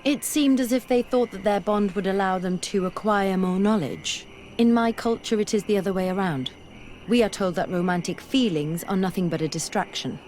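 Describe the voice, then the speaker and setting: An actress plays a curious, skeptical scholar in a fantasy game. She is medium-pitched, thoughtful and determined, with clear ambient noise behind her. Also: moderate pace, a little mellow, close to an everyday voice. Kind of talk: video game dialogue acting.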